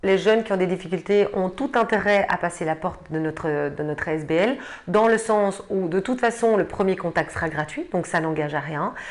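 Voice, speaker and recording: A woman's voice: lowish voice, normal speed, few pauses. A woman giving explanatory interview answers as a worker at a youth help service.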